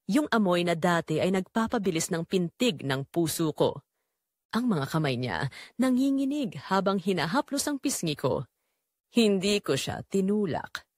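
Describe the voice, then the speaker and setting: A woman with a Filipino accent narrating a scripted drama in the first person, measured and emotive with pauses. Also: on the low side, very animated, no room sound, clean studio sound. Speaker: storyteller.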